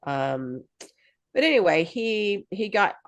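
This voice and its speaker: A woman's voice: medium pitch, sing-song, takes it slowly. A woman co-hosting a podcast in casual chat, rambling with lots of fillers and restarts and laughing easily. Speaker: podcast co-host.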